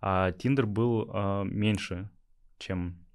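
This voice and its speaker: A man's voice: fairly low pitch, fairly even intonation, slow pace. A young man from Yakutia, a startup founder, answering interview questions hesitantly with many filler sounds. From Yakutia, Russia. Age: young adult.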